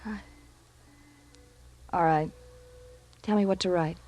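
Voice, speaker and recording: An actress playing an impassioned, scheming femme fatale in 1940s radio style, emotional and melodramatic. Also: female voice, fairly low pitch, steady tone, slow pace, loud music behind the voice. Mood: anxious pleading.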